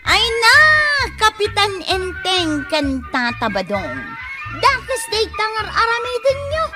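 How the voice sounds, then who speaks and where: A woman playing an excitable, fussy village busybody in a comic radio drama. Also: extremely high pitch, slow pace, over loud music, exaggerated voice. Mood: indignant, scolding.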